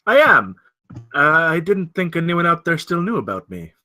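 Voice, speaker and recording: A courteous older gentleman scientist, acted out by a male reader, with a bright, clear-edged tone and very little room sound. He speaks formally and wordily, like a learned man in a drama. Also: a little high, very animated, moderate pace, no room sound, pitched above the performer's own voice, heavily acted. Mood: amazed, delighted. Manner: formal wordy speech. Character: older silver-haired scientist, male, courteous, bookish, wounded.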